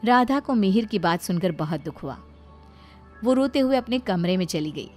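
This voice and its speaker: A woman's voice: mid-range voice, animated, normal speed, a little mellow, faint music behind. A woman narrating a scripted domestic tale in a storybook style.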